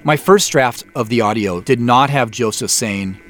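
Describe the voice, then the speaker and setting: A man's voice: medium pitch, moderate pace, background noise. A man giving scripted behind-the-scenes commentary as the creator of an audio drama.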